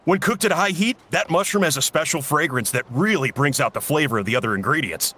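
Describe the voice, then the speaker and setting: An actor playing a genial village cook and uncle in a video game, explaining things a little theatrically. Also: male voice, fairly high pitch, lively intonation, a little fast, pushed and bright, a little hiss or hum. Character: genial adult male village cook, uncle, slightly dramatic. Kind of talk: English video game voice acting.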